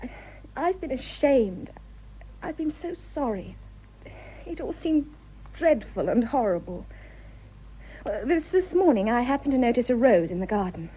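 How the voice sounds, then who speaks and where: An actress playing a sensitive, romantic young upper-class English wife in a British radio drama, with a genteel, emotional manner. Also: female voice, on the high side, very animated, unhurried, pauses often, breathy edge, muffled recording, heavily acted. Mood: distressed, impassioned.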